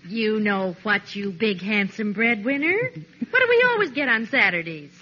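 Exasperated housewife, practical, sweetly insistent, animated delivery, muffled old radio recording. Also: female voice, medium pitch, moderate pace. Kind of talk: 1940s radio situation comedy acting. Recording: dull, noisy old radio broadcast recording.

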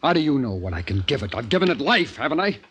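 An actor playing an obsessed, defiant scientist in a 1950s radio drama, intense and halting. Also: male voice, medium pitch, sing-song, fairly bright tone, slightly rough, full character voice. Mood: feverish wonder. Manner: intense, halting, broken phrases. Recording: noisy 1950s radio broadcast recording.

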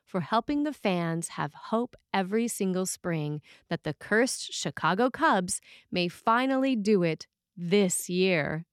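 Slow-paced woman reading a sermon. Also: mid-range voice, no echo, clean studio sound. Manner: measured, deliberate phrasing with dramatic pauses. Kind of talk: scripted sermon reading, storytelling.

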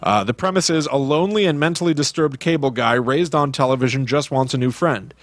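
A man with a mid-pitched voice talks as a podcast co-host, retelling films in casual conversation. The sound is dry, with very little room in it. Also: a little fast, no room sound.

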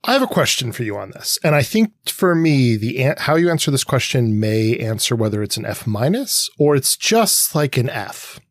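A man's voice: medium pitch, very animated, normal speed, few pauses, no room sound. A man co-hosting a conversational literary discussion, posing long-winded, analytical questions. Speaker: podcast co-host.

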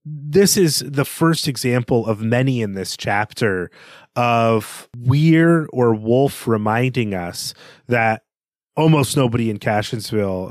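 A man's voice: medium pitch, dry recording, studio quality. A man co-hosting a podcast, talking through literary analysis in a studious, explanatory way. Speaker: podcast co-host.

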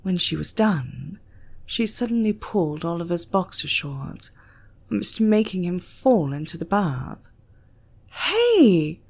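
A woman's voice: lowish voice, animated, a little slow, gravelly, husky. A woman with a British accent giving a dramatic narration of a scripted story. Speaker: audiobook narrator.

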